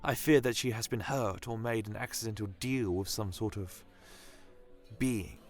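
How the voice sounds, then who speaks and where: A man voicing a gentle, earnest village woman with a calm, measured way of talking, in an audio fantasy tale. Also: lowish voice, soft background music, pitched above the performer's own voice, natural voice. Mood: gentle, worried. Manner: calm, soft-spoken, measured.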